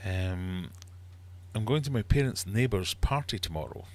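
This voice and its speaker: A man's voice: sits low, expressive, moderate pace. A middle-aged man with a Glaswegian Scottish accent, chatting casually and ramblingly as he hosts a livestream. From Glasgow, Scotland. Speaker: livestream host and quizmaster. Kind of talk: casual live chat, reading viewer comments.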